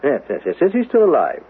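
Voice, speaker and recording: A man's voice: a little high, wildly swooping pitch, fairly quick, slightly rough, exaggerated voice. An actor playing an obliging, chatty parish clergyman in a radio drama, hesitant and repeating words in eager bursts. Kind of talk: old-fashioned radio drama acting. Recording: muffled narrow-band radio broadcast.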